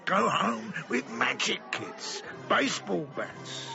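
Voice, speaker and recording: A man playing a sinister carnival showman, grandiose, deliberate and menacingly polite, in a radio drama. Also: high voice, sing-song, vocal fry, dull audio, music in the background, exaggerated voice. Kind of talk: radio drama villain acting.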